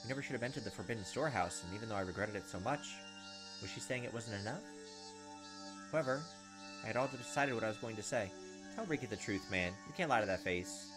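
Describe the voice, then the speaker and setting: A man's voice: on the low side, lively intonation, quick pace. A man reading visual novel narration aloud as a gameplay host, breaking in with blunt, informal reactions. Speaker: let's play host. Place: indoors at a desk, webcam gameplay. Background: game music, insect sounds.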